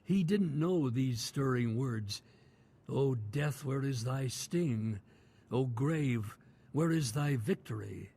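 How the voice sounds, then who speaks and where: A man as announcer and narrator of a radio drama series, reading in a solemn, measured way. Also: mid-range voice, lively intonation, dark tone, husky. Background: music bed.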